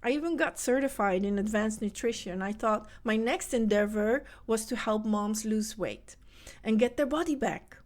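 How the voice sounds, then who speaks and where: A woman's voice: fairly high pitch, moderate pace. Earnest Dutch-accented woman coaching mothers. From Netherlands. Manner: earnest, speaks directly to the listener. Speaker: podcast host and self-help coach for mothers. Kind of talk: motivational podcast monologue.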